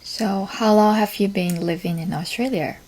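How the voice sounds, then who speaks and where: A young woman who is a graduate student and hosts a podcast. She talks in a friendly, clear and unhurried way, with a few fillers and restarts. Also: mid-range voice, a little mellow, rough recording. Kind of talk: casual chat, then a solo talk for learners.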